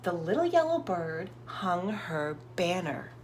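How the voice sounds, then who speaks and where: Slow, expressive woman reading to children. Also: fairly low pitch, slight background noise. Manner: encouraging, expressive, addressing small children. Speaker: storybook reader for young children. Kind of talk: children's read-aloud with questions to listeners.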